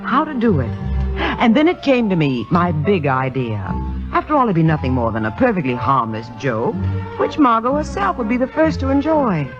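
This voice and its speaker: On a muffled old radio recording with music behind, a woman acts a wry, composed society wife. Her pitch moves expressively as she confides with deliberate poise, quietly scheming in 1950s radio drama fashion. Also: very animated, natural voice. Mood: scheming, confiding.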